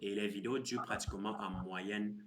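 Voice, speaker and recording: A man in his thirties hosting a crypto live stream, chatting with viewers in a relaxed, teasing way and explaining his course. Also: lowish voice, brisk, slight background noise. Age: 30s. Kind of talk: casual live stream chat.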